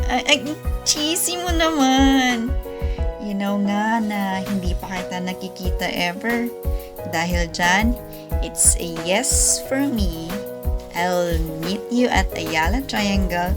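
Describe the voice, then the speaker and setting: Woman playing a slow-talking, teasing Filipina sweetheart. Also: mid-range voice, natural voice. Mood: playful. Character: teasing, affectionate young woman, a longtime sweetheart. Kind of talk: amateur Filipino radio drama acting. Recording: music playing behind the voice.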